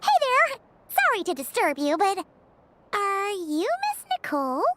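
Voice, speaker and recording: A woman voicing a tiny, excitable, outspoken fairy companion in a video game, with a chirpy, emphatic delivery. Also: very high-pitched, measured pace, heavy background noise, exaggerated voice. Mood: polite, awkward. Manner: emphatic, chirpy, scolding and blunt. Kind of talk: English video game voice acting.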